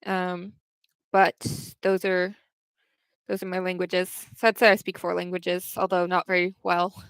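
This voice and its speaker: A young woman talks casually to her livestream chat, wandering through her thoughts with frequent restarts. Her voice is smooth and resonant, and it is recorded close and dry, with no room sound. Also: fairly low pitch, flat delivery, a little slow, no room sound. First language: English.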